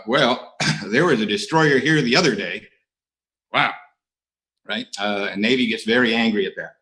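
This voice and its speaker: Bright-voiced man, self-mocking AA share. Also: mid-range voice, poor audio. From United States. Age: middle-aged. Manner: self-mocking, dry humor, many uhs. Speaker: AA speaker. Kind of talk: recovery share talk. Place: online conference.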